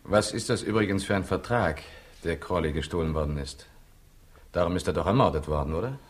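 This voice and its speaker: A man's voice: low voice, animated, fairly quick. An actor playing a cocky, probing, sardonic man who shrugs off threats in a 1960s radio crime play. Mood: probing, mocking. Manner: probing, mocking.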